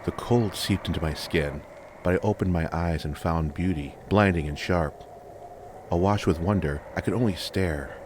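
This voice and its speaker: A man reading a scripted first-person fantasy story as narrator, in measured, dramatic, deliberate phrases. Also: deep voice, noisy background. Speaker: audiobook narrator.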